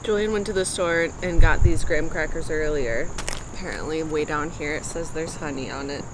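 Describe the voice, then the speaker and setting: A woman's voice: deep voice, fairly quick, noisy background. A young woman laughing and teasing as she cooks in her home kitchen, chatting casually. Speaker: vlogger cooking at home. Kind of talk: casual banter while cooking. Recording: roomy kitchen with music behind.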